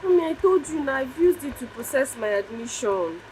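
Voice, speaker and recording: An actress playing a meek, forgiving young stepdaughter in a melodramatic film, earnest and deferential. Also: female voice, high voice, lively intonation, brisk, darkish tone. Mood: defensive. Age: young adult. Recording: distant mic, background noise.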